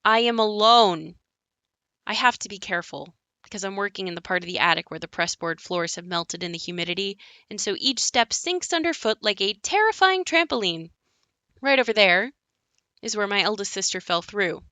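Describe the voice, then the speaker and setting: An actress as a wry young woman narrating a stage-style audio drama about her haunted house. Her voice is bright and forward, set at a middling pitch. The recording is close and dry, and she tells it conversationally. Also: sing-song, moderate pace, no room sound. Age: young adult. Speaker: narrator character in an audio play. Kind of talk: scripted dramatic monologue.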